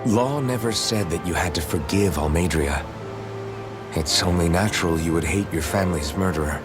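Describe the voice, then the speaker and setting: Game role: kind young leader male actor, deep register, gentle and steady delivery, music underneath. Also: natural voice. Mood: reassuring, serious. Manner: gentle, measured, reassuring. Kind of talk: English video game voice acting. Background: background music.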